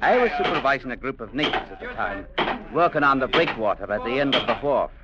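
An actor playing a courteous, quietly stubborn young Scottish newcomer in an old radio adventure drama. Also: male voice, mid-range voice, animated, heavy background noise, close to an everyday voice. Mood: sober narrating. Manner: measured, courteous, quietly firm. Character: young Scottish newcomer clerk, polite but stubborn man. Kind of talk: 1940s-50s radio drama acting and narration. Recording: dull old radio broadcast.

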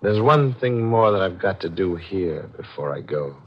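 Low-voiced, gloating radio murderer. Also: male voice, animated, slightly rough, exaggerated voice. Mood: cool menace. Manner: menacing, theatrical, deliberate, gloating chuckles. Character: cold, calculating, gloating male murderer and schemer. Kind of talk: 1940s radio mystery acting, with first-person narration. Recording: muffled old radio broadcast with music.

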